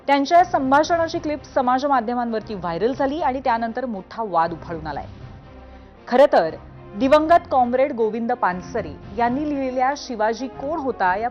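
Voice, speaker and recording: High-voiced woman anchoring news. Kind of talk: scripted TV news presentation. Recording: dull audio over music bed. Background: news music.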